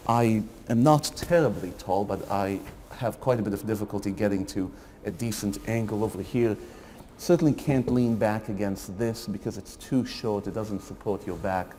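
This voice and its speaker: A man's voice: on the low side, dark tone. A middle-aged man, a lecturer, giving a wry, critical walking tour of a building, recorded by a camera at a distance in hallways and an empty lecture hall. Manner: dry, wry critical commentary. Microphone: far camera. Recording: reverberant hall, faint room noise.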